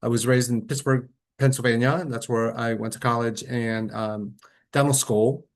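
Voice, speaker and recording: A middle-aged man from Colombia, an orthodontist, giving a relaxed, conversational webinar lecture over a computer microphone on a video call, with frequent fillers and restarts. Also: medium pitch, normal speed.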